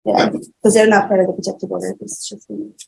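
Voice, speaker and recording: A woman giving halting, informal testimony as a witness in a courtroom. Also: medium pitch, little pitch movement, gravelly. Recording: noisy courtroom audio.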